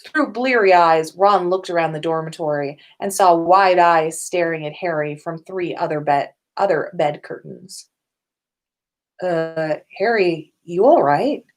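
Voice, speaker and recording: A woman's voice: on the low side, normal speed, few pauses. A woman reading comic fan fiction aloud as a podcast host, now and then stumbling and rereading a phrase.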